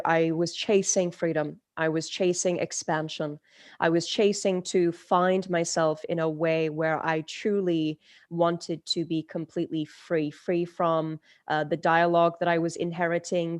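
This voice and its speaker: A woman's voice: sits low, no echo, clean studio sound. A woman from Turkey, a spiritual teacher, telling her life story in a podcast interview, reflective with many fillers and restarts.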